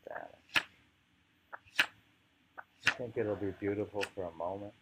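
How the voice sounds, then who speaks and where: Guy reading poems w gravelly deep voice, musing and halting, dull lofi home recording. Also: wildly swooping pitch, very noisy. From United States. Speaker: poetry reader. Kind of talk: informal poetry reading with off-the-cuff commentary.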